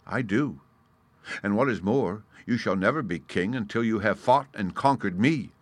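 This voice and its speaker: A man's voice: fairly low pitch, normal speed, dry recording, close to an everyday voice. A man voicing a tiny, defiant male gnat who talks in a taunting, self-important way for a children's story. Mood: disdainful.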